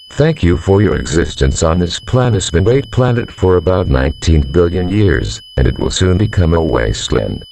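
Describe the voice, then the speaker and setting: A man's voice: low-pitched, vocal fry, a little mellow, heavily acted. A man playing a grave presidential representative who delivers a formal, solemn doomsday broadcast as a scripted emergency announcement. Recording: humming, faintly noisy audio.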